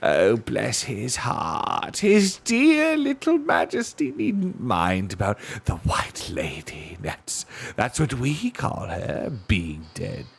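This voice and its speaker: A shrill old hag from a storybook, played by a man, wheedling and sly. The voice whines and fawns in drawn-out phrases, swinging up and down. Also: huge pitch swings, vocal fry, breathy edge, full character voice. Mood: sly fawning. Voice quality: shrill, whiny.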